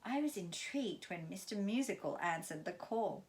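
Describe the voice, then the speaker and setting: A woman from London, reading her own memoir aloud in an expressive storytelling way. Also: lowish voice, moderate pace. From London, England.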